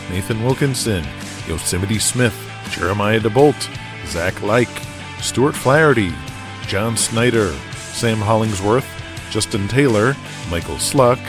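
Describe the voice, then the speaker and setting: Low-voiced man narrating over music. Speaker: narrator and announcer. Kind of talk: scripted dramatic narration. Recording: music bed behind voice. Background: guitar music.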